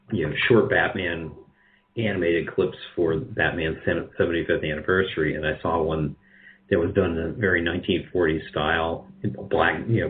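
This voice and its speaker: Low-voiced man in a muffled interview. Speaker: guest, radio drama producer. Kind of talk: conversational interview answers.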